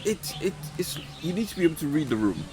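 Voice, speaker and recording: A man's voice: on the high side, big pitch swings, fast talker, over music, low-quality recording. A man who grew up in Ghana, a podcast guest talking thoughtfully with many restarts. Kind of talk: podcast interview.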